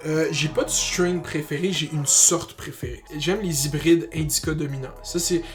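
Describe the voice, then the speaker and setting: Young male vlogger, Québécois accent, voice a bit high, loose slangy delivery with English borrowings, light music underneath. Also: fairly even intonation, moderate pace. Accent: Québécois French. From Quebec, Canada. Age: young adult. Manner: informal, slangy, mixes in English words. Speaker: YouTuber. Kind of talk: casual Q&A vlog to camera.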